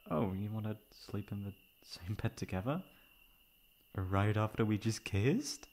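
Guy doing smitten best friend in asmr romance, soft n halting, creaky low voice. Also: expressive, slight background noise. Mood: gently teasing. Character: smitten male best friend, tender, flustered, gently teasing. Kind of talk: intimate ASMR romance roleplay.

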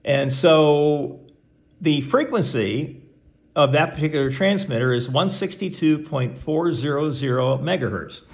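A man's voice: a little high, measured pace. A man giving a casual how-to walkthrough in a folksy way, talking himself through each step. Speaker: presenter of how-to video. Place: indoors at home, beside a tabletop radio. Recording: clearly noisy home recording with clicks.

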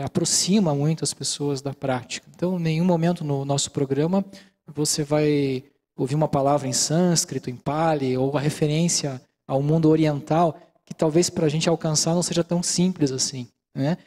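A middle-aged Brazilian man giving a calm, explanatory lecture in a large auditorium, speaking into a handheld microphone and often checking in with the audience. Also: fairly high pitch, moderate pace. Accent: Brazilian Portuguese. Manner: calm, explanatory, many fillers like "né". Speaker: mindfulness lecturer. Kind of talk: relaxed lecture with audience questions.

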